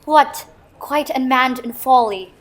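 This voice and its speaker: A woman's voice: high voice, takes it slowly. A woman playing a commanding, scornful queen in a dramatic reading of Shakespeare. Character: commanding, scornful queen covering for her husband.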